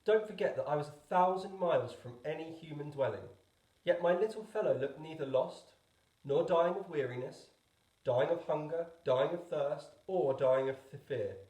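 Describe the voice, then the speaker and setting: A man narrating a storybook as a teacher would, expressively, with deliberate pauses. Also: fairly high pitch, a little mellow, roomy sound, low-quality recording. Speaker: teacher reading a book aloud.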